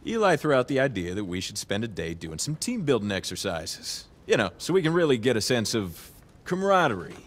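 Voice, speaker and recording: A man's voice: mid-range voice, very animated, fairly quick, hardly any pauses. A man playing a cocky, easygoing young crime boss in a video game cutscene, talking casually with wry, profane ease. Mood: casual, upbeat.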